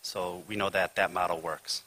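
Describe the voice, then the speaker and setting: Deep-voiced man telling a panel anecdote. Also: fairly even intonation, sharp tone, rough recording. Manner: anecdotal, unhurried, with fillers. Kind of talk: conference panel discussion.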